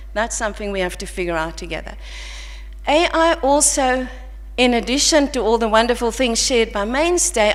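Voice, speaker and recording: A South African woman giving an earnest, reflective academic conference talk at a podium mic, with some restarts. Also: fairly high pitch. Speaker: conference panel speaker. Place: large conference hall. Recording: long hall reverb.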